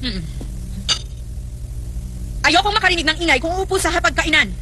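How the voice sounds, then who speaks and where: A woman's voice: extremely high pitch, animated, normal speed, slight background noise, full character voice. A woman playing a vain, jealous, imperious queen in a dubbed fairy-tale cartoon, with a haughty, commanding manner. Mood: stern, cold. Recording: loud music behind the voice.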